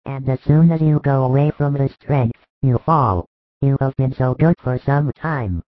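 Male reader as a grave, loving spiritual teacher for a storybook; emphatic pleading, mid pitch, narrow-band sound. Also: unhurried, no echo, close to an everyday voice. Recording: thin phone-like band, dry.

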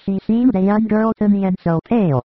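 A reader voicing a kind-hearted, gentle shepherd in a dramatized story reading. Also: mid-range voice, a little fast, dry recording, close to an everyday voice. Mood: tender. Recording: tinny phone-like recording.

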